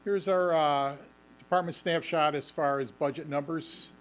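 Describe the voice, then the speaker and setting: A man presenting a facilities update at a school board meeting, halting with many ums and restarts, and losing his voice. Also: a little high, fairly even intonation, a little hiss or hum. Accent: Western New York. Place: school administration meeting room.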